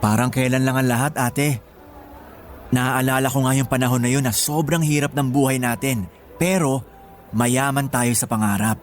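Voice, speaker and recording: A man's voice: medium pitch, steady tone, moderate pace, lightly acted. A man acting in a Filipino radio drama as a grateful, protective younger brother with an earnest delivery. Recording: music behind the voice.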